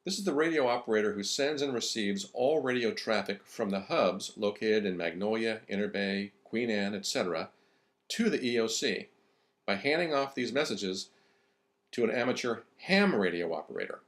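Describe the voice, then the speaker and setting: A man's voice: lowish voice, animated, normal speed. A man reading scripted narration for an instructional video.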